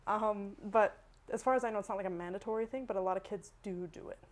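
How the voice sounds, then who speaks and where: Woman, Canadian accent, mid-range voice, animated, emphatic, slangy, casual interview guest. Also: mid-range voice, fast. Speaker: guest, dancer and artist.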